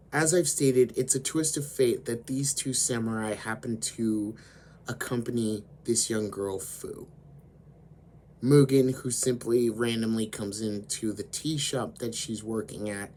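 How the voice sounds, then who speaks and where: A man with a hoarse voice, slowly retelling an anime story as host of his own channel. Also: medium pitch, fairly even intonation, dark tone, slight background noise. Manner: slow, deliberate storytelling with frequent fillers.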